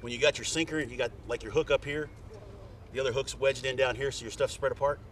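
A man's voice: mid-range voice, fairly even intonation, fast. Brief: middle-aged man, plain folksy how-to talk, recorded from a few feet off outdoors, faint boat hum. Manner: plain, folksy, step-by-step instructions. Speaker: fishing guide. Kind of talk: casual how-to demonstration. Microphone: camera mic from a few feet away. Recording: distant outdoor camera audio with faint boat noise.

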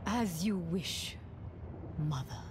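An actress playing a devoted, anguished mother in a video game, speaking in a halting, emotional way. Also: on the low side, lively intonation, noisy background. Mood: submissive. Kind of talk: dramatic video game acting. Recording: game music behind.

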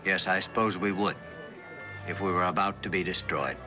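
An actor playing an obsessed, evasive husband in a mid-century suspense drama, clipped and guarded. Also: male voice, sits low, fairly even intonation, moderate pace, over music, lightly acted. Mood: reluctant.

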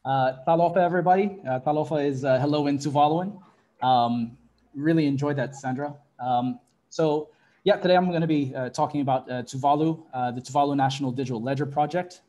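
A man in his thirties from Fiji, introducing himself as a panelist on a video call in a relaxed, friendly way with many fillers. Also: a little high, a little fast. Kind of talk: webinar self-introduction. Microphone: earbuds on a video call.

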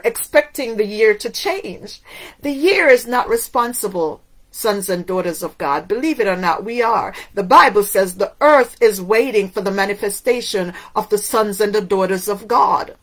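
A middle-aged woman, born in Cuba with Spanish as her first language, preaches to a webcam. She talks slowly and emphatically, leaning on each word and asking her listeners one rhetorical question after another. Her pitch sits in a middle register. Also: expressive, low-quality recording. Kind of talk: sermon to camera. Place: indoors in front of a waterfall backdrop.

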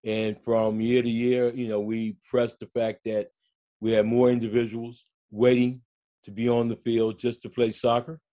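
An older man and school coach speaking on a video call from his home, telling stories in a slow, reflective way during a panel discussion. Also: medium pitch, level tone, dark tone, muffled recording. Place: home room, indoors.

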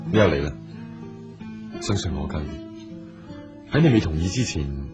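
An actor playing a patient, persistent businessman in a radio drama, speaking calmly and earnestly. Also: a bass voice, normal speed, over loud music. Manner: calm, earnest and probing.

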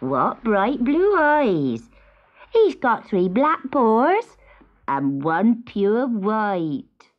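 An excited farm child played by a woman reader, high and loud. The child calls out eagerly in a storybook style, on a slightly dull recording. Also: very slow, exaggerated voice. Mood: amazed. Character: excited young farm children, urgent and amazed.

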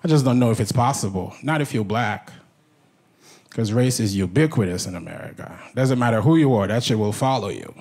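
A man's voice: expressive, brisk, a little mellow. A young man from Haiti with a Haitian accent, whose first language is Haitian Creole, performing a conversational stand-up set on a club stage with a handheld mic. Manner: conversational, jumps into high-pitched impressions of others. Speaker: stand-up comedian. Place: comedy club stage before a live audience. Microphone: handheld stage mic on a stand cable. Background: faint audience laughter.

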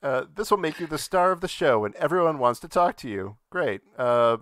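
A man's voice: on the high side, expressive, brisk, fairly bright tone, no echo. Man hosting a dry-sounding podcast. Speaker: podcast host. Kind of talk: casual comedic podcast banter.

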